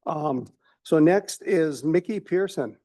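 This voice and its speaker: Soft-spoken man chairing a council meeting. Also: on the high side, lively intonation, slow pace. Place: city council chamber.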